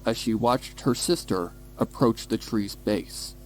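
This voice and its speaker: A man's voice: on the low side, takes it slowly, faint music behind, rough recording. A man reading scripted, dramatic story narration. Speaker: fan fiction narrator.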